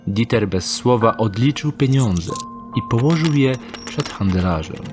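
A man narrating a radio drama in a calm, measured storytelling manner. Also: mid-range voice. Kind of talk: scripted audio drama narration. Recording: narration over music score.

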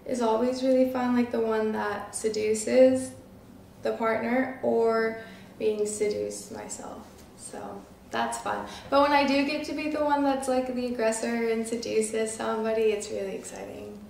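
A woman's voice: a little high, fairly even intonation, measured pace, vocal fry, darkish tone. A nineteen-year-old woman chatting casually as an interview guest, peppering her talk with filler words. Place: small living room, seated on a couch. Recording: roomy, distant mic, faint noise.

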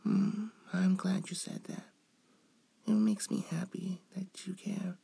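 Slow-paced man voicing a cute young femboy, shy and playful, creaky edges, ASMR roleplay. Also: high voice, little pitch movement, mellow, dry recording, full character voice. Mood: soft, pleased. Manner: hesitant, coy, with drawn-out words.

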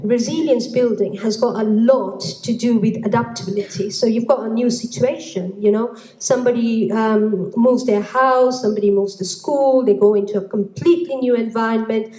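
Mellow woman explaining, echoey room. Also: on the high side. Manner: explanatory, measured. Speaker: panelist.

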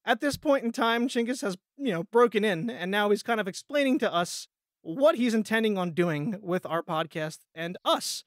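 A man hosting a podcast in casual chat, rambling and halting as he repeats words. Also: sits high, expressive, no echo, clean studio sound. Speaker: podcast host.

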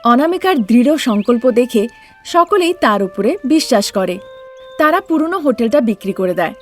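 A woman's voice: fairly high pitch, expressive, normal speed, soft background music. A woman narrator telling a scripted children's story.

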